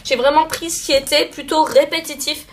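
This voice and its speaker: Young woman, high voice, slightly stuffy from a cold, chatty, lots of fillers and self-corrections. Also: steady tone, moderate pace. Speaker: beauty vlogger. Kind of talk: casual monthly favorites chat.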